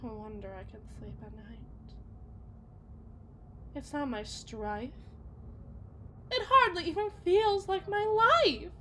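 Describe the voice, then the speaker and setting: Woman playing an unhappy wife and mother, very high voice, slow halting delivery, bitter, self-loathing, theatrical ASMR roleplay. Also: fairly bright tone, a little hiss or hum. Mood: despairing, self-reproachful. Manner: theatrical, rambling, halting lament.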